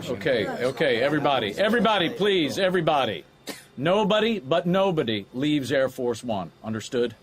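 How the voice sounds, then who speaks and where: An actor playing a firm, reassuring security agent in an audio drama, speaking with polite, orderly authority. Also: male voice, medium pitch, very animated, moderate pace, crowd noise, natural voice. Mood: firm, urgent.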